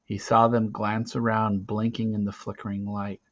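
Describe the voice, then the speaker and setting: A man reading a story aloud as narrator, with a dramatic, expressive delivery. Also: on the low side, normal speed. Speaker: reader of a children's novel. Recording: dull, lo-fi recording.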